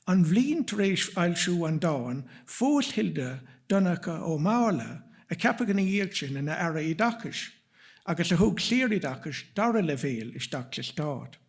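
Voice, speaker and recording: A man's voice: fairly high pitch, breathy edge. An Irish man presenting performers at an event, reading prepared introductions.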